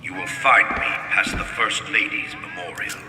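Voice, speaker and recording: An actor playing a proud, embittered old one-eyed soldier who goads in a theatrical way. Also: male voice, on the low side, normal speed, heavy background noise, lightly acted. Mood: taunting. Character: bitter, proud old one-eyed war veteran commander. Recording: echoey hall, loud music behind.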